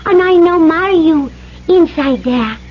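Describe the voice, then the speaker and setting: A woman's voice: very high voice, lively intonation, measured pace. An actress playing a young, proud Polynesian island woman in a radio drama, earnest, speaking short broken English. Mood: pleading, proud. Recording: dull old radio recording, faint noise.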